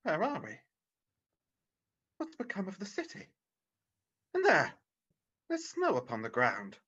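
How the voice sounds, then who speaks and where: A woman's voice: mid-range voice, wildly swooping pitch, a little slow, fairly bright tone, husky, full character voice. A woman playing a frightened, bewildered old miser in a radio play, stammering and hesitant. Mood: wistful amazement. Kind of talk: radio play rehearsed reading over video call. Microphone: video call. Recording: dull, lo-fi video call audio.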